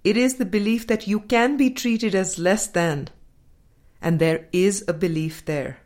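A woman reading a spiritual self-help audiobook in a measured, deliberate way. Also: medium pitch, darkish tone. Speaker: audiobook reader.

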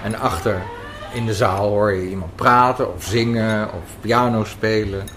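A man's voice: on the low side, steady tone, a little slow. A man from the Netherlands interviewing conversationally, inquisitive and thinking aloud in short phrases. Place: café, indoors. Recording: clear café background noise. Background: café noise.

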